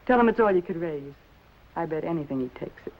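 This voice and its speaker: An actress playing a brassy, greedy, scheming dame in 1950s film noir style, slangy and sharp-tongued. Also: female voice, sits low, expressive, fast. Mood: brazen, persuasive. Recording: muffled, noisy old film soundtrack.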